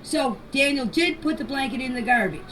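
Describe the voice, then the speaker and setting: A mature woman giving an informal spoken statement in a deliberate, halting way, in short phrases, as a voice message. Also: high voice. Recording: distant noisy amateur voice clips.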